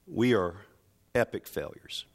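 Slow, deliberate male preacher. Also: fairly low pitch, animated. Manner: emphatic, dramatic, deliberate phrasing with repetition. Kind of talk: sermon.

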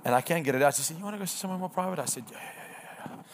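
A man's voice: fairly high pitch, rapid-fire, slight background noise. Earnest Afrikaans-accented man preaching. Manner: earnest storytelling with emphatic repetition. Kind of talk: sermon testimony.

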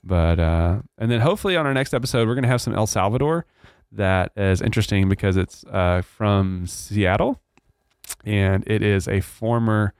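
A man's voice: fairly low pitch, no room sound, clean studio sound. A man with a Texan drawl, hosting a church podcast in casual, earnest chat with many fillers.

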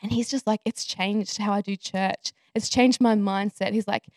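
A woman's voice: medium pitch, fairly even intonation, normal speed, a little mellow, no echo. A woman, a missionary and pastor's wife, giving an earnest, chatty testimony to a church, often correcting herself.

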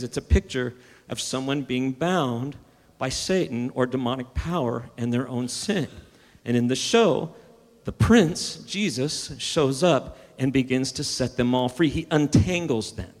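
A man's voice: mid-range voice, long reverb tail. A man preaching a sermon, building emphasis and sometimes restarting his words. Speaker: pastor.

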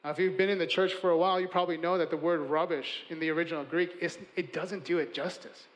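Earnest preaching man with a high voice. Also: fairly even intonation, fast, long reverb tail, low-quality recording. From Chicago, USA. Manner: earnest, emphatic preaching with building lists and hushed endings. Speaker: pastor. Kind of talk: sermon.

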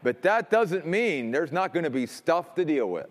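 A man preaching a sermon as pastor, emphatic, dropping low and quiet to stress points. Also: sing-song, normal speed, big-room sound.

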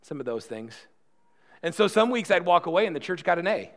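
A man's voice: high-pitched, big pitch swings, fairly quick, creaky. A man in his early forties preaching a conversational, earnest sermon with rhetorical questions and self-deprecating asides, often repeating words and restarting. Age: 40s. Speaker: pastor.

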